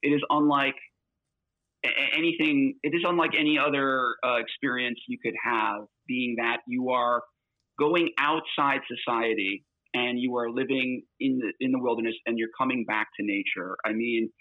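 A man's voice: medium pitch, steady tone, moderate pace, dry recording. A man talking as a hiker guest on a podcast, earnest and full of fillers, heard over a remote call. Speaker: guest, long-distance hiker. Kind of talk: conversational interview. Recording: tinny phone line, dry.

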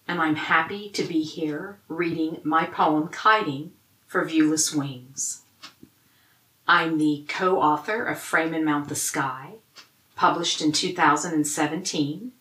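A woman who is a poet, reading her own prepared words in a measured way. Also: deep voice, bright, forceful tone, reverberant, poor audio. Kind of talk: measured scripted poetry reading.

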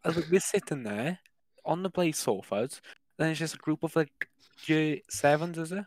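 Young British man, slightly high voice. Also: lively intonation, moderate pace, no room sound. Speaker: podcast co-host. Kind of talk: casual chat and storytelling.

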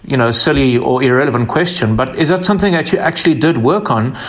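A man's voice: medium pitch, quick pace. A male interviewer with a mid-range voice who frames his questions conversationally and leads his guest through memories. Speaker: interviewer, host.

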